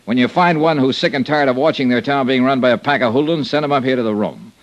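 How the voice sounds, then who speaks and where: A man's voice: mid-range voice, fast, no room sound, highs cut off, lightly acted. An American man playing a tough, commanding investigator in an old-time radio drama, with clipped, hard-boiled delivery. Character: tough, commanding insurance investigator, brisk and determined. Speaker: lead actor and narrator of a detective radio serial.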